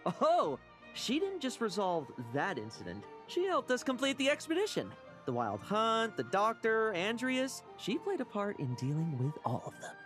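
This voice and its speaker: A mischievous young knight captain from a video game. His high voice swings widely, theatrical and sly, with a ruthless edge. Music plays underneath. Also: wildly swooping pitch, normal speed, fairly bright tone, exaggerated voice. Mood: breezy, boastful.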